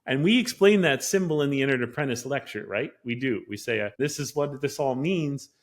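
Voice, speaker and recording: A man's voice: mid-range voice, lively intonation, brisk. Eager man explaining at length. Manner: eager, lecturing explanations with many fillers. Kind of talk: casual podcast conversation.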